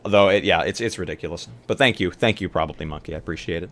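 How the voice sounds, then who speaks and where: A man's voice: deep voice, rapid-fire, a bit bright. A man chatting casually with stream viewers and a co-host in an easygoing, informal, joking way. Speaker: live-stream roleplay player.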